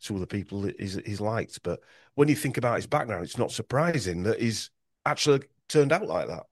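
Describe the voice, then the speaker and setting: A man's voice: lowish voice, expressive, a little fast, no echo, studio quality. A man with a Yorkshire accent talking in a podcast conversation, rambling and restarting his sentences often. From Yorkshire, England. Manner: rambling with frequent restarts and stumbles.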